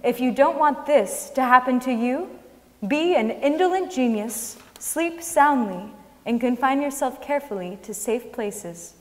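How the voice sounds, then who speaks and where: A woman's voice: high voice, lively intonation, measured pace. A woman giving a scripted dramatic reading on the stage of a large auditorium. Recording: long hall reverb.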